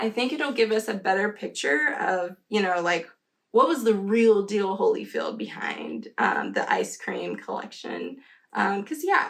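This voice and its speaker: Female vlogger, mid-pitched with noticeable vocal fry, rambling and conversational, casual storytelling review delivery. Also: fairly bright tone.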